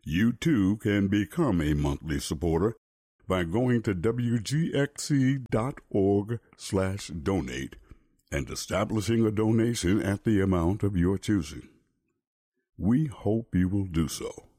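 Low husky male announcer, measured sponsor read, dry close sound. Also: lively intonation, mellow, no echo. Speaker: station announcer.

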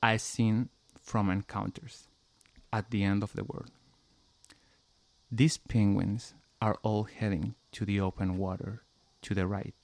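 A man's voice: on the low side, creaky, darkish tone. A man from Chile with a Chilean Spanish accent answering interview questions in English, hesitant and full of fillers, pronouncing each sound with care. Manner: hesitant, many "like" fillers, careful pronunciation. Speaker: interviewee, musician. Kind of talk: casual interview answers and a read passage.

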